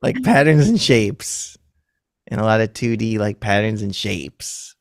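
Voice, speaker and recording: Gravelly, rambling man in his thirties. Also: fairly low pitch, very animated, measured pace, no room sound, studio quality. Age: 30s. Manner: rambling, self-deprecating, laughs often. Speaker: musician guest. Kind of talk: casual chat.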